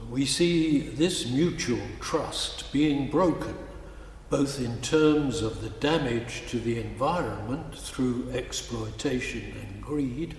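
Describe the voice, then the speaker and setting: A man giving a measured, declamatory scripted literary narration in a large reverberant space. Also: mid-range voice, darkish tone. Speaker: narrator of a musical cantata. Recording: echoey hall, music behind.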